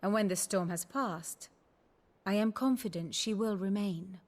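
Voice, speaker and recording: A woman playing a worldly scholar in a fantasy game, speaking in formal, eloquent, deliberate phrases. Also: medium pitch, close to an everyday voice. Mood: calm assurance. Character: worldly woman scholar, learned, measured, faintly wry tutor of politics. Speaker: lore tutor character. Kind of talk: cinematic fantasy game acting, expository narration. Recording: loud orchestral music behind.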